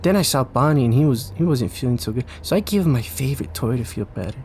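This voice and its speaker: A man's voice: medium pitch, animated, fast, darkish tone, slight background noise, lightly acted. A man voicing a small, kind-hearted, shy child in an audio drama, speaking hesitantly and stumbling over words. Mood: excited. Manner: hesitant, stammering and repeating words. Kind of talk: audio drama character dialogue.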